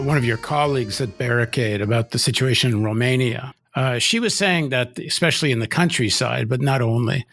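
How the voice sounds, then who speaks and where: A man's voice: medium pitch, creaky, a bit bright, no room sound, studio quality. A male host interviewing a guest, rambling and thinking aloud before he gets to his question.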